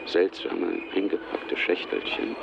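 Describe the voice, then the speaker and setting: A man's voice: low-pitched, level tone, takes it slowly, gravelly, highs cut off, crowd noise. A man narrating a radio drama from a script.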